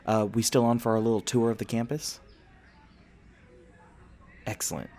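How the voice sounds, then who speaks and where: A man's voice: mid-range voice, little pitch movement, moderate pace, natural voice. A man playing a friendly, teasing vampire university student and tour guide in a casual, conversational ASMR roleplay. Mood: warm, teasing. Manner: casual, conversational, gently joking. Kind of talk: ASMR roleplay acting, casual chat to listener.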